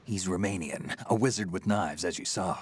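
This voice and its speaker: A man playing a weary, gruff American special forces veteran, wounded and sardonic, in a video game cutscene. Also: deep voice, normal speed, a little hiss or hum, lightly acted. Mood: grim, knowing. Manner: sardonic, terse, world-weary.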